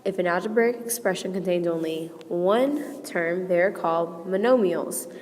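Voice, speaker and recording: Hoarse woman teaching a math lesson. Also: lowish voice, measured pace, darkish tone, long reverb tail. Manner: patient, explains step by step with frequent "okay?" checks. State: sick, losing her voice. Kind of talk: recorded classroom lesson.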